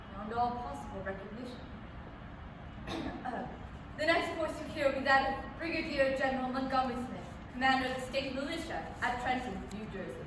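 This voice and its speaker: A high-voiced, composed news announcer acted by a woman delivers formal bulletins in an old-time radio play. The recording has a long reverberant echo and clear background noise. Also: close to an everyday voice. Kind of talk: staged reading of 1938 radio drama. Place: public library room, staged reading. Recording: far off in echoey hall, noisy.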